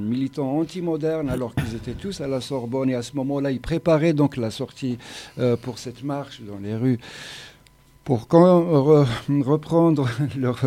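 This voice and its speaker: A man explaining something in a group discussion, with hesitant, drawn-out phrasing. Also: mid-range voice, warm tone. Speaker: discussion participant.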